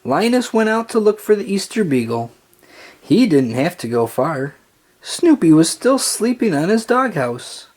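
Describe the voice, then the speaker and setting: A narrator reading a children's storybook aloud in a deliberate, expressive storytelling manner. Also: medium pitch.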